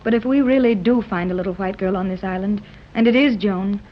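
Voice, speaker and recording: A woman's voice: mid-range voice, a little fast, slight background noise, lightly acted. Actress playing earnest determined mother, muffled old radio sound. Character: hopeful determined mother leading an expedition. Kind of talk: 1930s radio acting. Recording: dull old radio recording.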